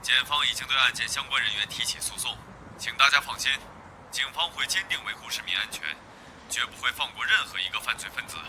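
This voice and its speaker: A male actor voicing a formal, measured news announcer in an animated drama. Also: mid-range voice, slight background noise, low-quality recording. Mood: formal, assured.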